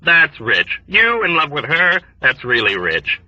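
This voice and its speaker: A man's voice: on the high side, wildly swooping pitch, a little slow, no echo, lo-fi sound, voice pushed up, heavily acted. A man voicing a pompous, jovial male officer who laughs patronizingly and never listens. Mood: mocking.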